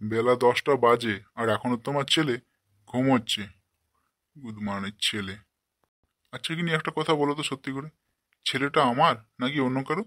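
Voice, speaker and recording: A man voicing a short-tempered, foul-mouthed village father in a comic cartoon, gruff and scolding. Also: medium pitch, normal speed, pauses often, fairly bright tone, dry recording, studio quality, close to an everyday voice. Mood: irritated, suspicious.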